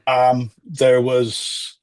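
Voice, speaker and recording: A middle-aged man, a former diplomat, talking as a guest over a video call, measured and hesitant with many fillers and restarts. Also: mid-range voice, monotone, a little mellow. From Ireland or Britain. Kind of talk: remote interview, political commentary.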